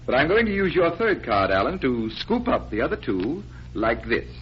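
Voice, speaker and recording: An actor playing an assured, genial stage magician in 1940s American radio style, explaining with a deliberate showman's manner. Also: mid-range voice, big pitch swings, lightly acted. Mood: assured, instructive. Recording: muffled, noisy vintage radio recording.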